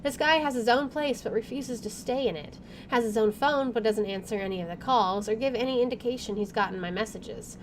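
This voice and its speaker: A woman hosting a gaming video, reading visual novel narration aloud in an expressive way. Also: fairly high pitch, a little fast, slight background noise, rough recording. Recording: faint background game ambience.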